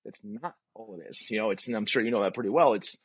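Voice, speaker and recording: A man's voice: on the low side, quick pace, breathy edge, no room sound. A man in his forties talking casually and emphatically as a podcast guest, voicing strong opinions and often asking rhetorical questions. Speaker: podcast guest, physical therapist.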